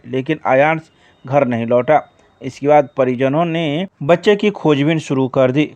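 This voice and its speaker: A man's voice: medium pitch, a little mellow, dry recording, lo-fi sound. A man reading scripted local news reports as a news reader.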